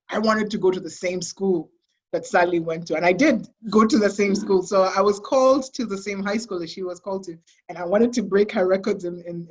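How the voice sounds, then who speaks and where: A woman's voice: mid-range voice, muffled recording. A young adult Kenyan woman with a Kenyan accent, a guest talking enthusiastically in a casual interview, with frequent laughs and restarts. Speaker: guest, sports consultancy CEO.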